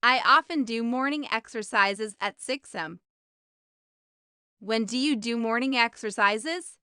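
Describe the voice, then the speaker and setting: A woman reading a scripted beginner language lesson of short questions and answers, with careful, even enunciation. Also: fairly high pitch, smooth, dry recording, clean studio sound. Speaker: English language teacher.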